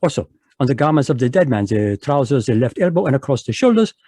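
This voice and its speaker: An actor playing a fussy, precise Belgian detective in a 1940s-style radio play, with a put-on French accent, courtly and lecturing, dropping French words. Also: male voice, medium pitch, very animated, quick pace, dark tone, no echo, clean studio sound. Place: home, live video call.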